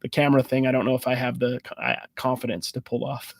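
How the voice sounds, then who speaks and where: A man's voice: medium pitch, flat delivery, fairly quick, vocal fry, dry recording, clean studio sound. A man hosting a casual live-stream Q&A, talking in a loose, rambling way with restarts and fillers. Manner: enthusiastic about card hunting. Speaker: sports card channel host.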